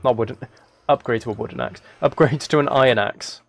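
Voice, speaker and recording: Male game streamer, gravelly creak, mid-pitched, casual and thinking aloud. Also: brisk. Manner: thinking aloud, self-correcting. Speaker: live streamer. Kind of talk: casual gaming livestream commentary, chatting with viewers.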